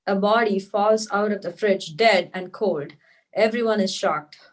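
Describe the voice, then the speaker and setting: A woman's voice: medium pitch, unhurried. A woman narrating stage directions at a script table read.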